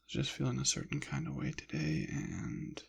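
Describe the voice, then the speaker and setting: A man rambling softly in an ASMR style, slipping in and out of a whisper, with a hesitant, halting, apologetic manner. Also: very deep voice, big pitch swings, vocal fry, slightly rough. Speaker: ASMR channel creator. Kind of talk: soft-spoken ASMR ramble, partly whispered.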